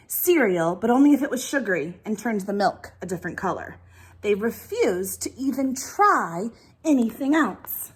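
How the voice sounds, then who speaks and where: A woman teacher reading a children's storybook aloud in an expressive, emphatic way. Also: medium pitch, slightly rough. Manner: animated, emphatic delivery.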